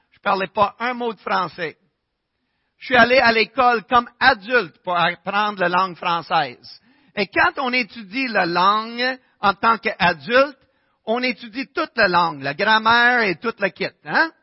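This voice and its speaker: A man's voice: high-pitched, no echo, highs cut off. A pastor preaching in French with an English-Canadian accent, his first language English, who talks to the congregation with rhetorical questions. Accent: English-speaking Canadian. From Winnipeg, Canada. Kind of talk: sermon.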